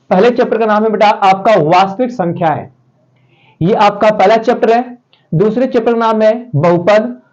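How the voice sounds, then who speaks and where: A male math teacher with a sore, slightly hoarse throat, talking students through a lesson in a chatty, repetitive way. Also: sits high. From Uttar Pradesh. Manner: chatty, repetitive, addresses students warmly as "pyare saathiyon". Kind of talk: casual spoken math lesson. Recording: dull lo-fi sound.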